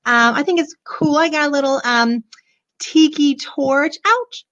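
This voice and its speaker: A hoarse woman in her forties, chatting bubbly and gushing to viewers as she shows a children's book on a webcam. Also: high voice, unhurried, fairly bright tone. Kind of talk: casual live book show-and-tell. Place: small room indoors, decorated backdrop. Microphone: webcam at desk. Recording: dull lo-fi webcam audio.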